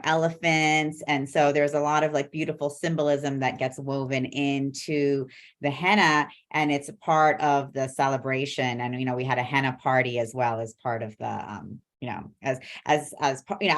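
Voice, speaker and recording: A woman's voice: rumbling low, fairly even intonation, normal speed. A woman from Bangladesh co-hosting a show over a video call, chatting and explaining things casually. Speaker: co-host.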